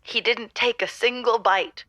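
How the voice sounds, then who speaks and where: A woman playing a timid, lovelorn, suspicious caller on a comedy radio show, halting, stammering and melodramatic. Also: fairly high pitch, fairly even intonation, fairly bright tone, dry recording. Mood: wounded, indignant.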